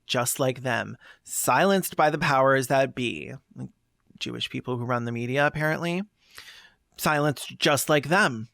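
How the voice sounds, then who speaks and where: A man's voice: medium pitch, vocal fry, a bit bright, no room sound, studio quality. A man delivering scripted, opinionated commentary as a video essayist, with a sarcastic, scornful manner.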